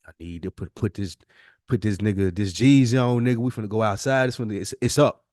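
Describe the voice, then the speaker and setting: Low-voiced American man in slangy podcast talk. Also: a little fast, a little mellow, no room sound, clean studio sound. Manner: slangy, profane, emphatic. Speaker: podcast co-host. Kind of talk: casual conversation.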